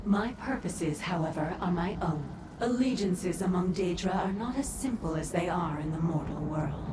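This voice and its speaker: A woman playing a commanding, ancient female daedra in a video game, speaking in a formal, solemn, measured way. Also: lowish voice, animated, roomy sound, recorded from a distance, background noise, close to an everyday voice. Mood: solemn, imperious. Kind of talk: scripted video game dialogue.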